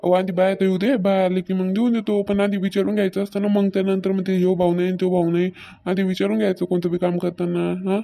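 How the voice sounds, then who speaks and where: A man acts out a stingy village farmer husband from a comedy cartoon. His voice sits high for a man and is mellow and fairly monotone. He grumbles and nags in a rural Maharashtra Marathi accent. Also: quick pace, vocal fry. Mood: matter-of-fact. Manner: nagging, complaining.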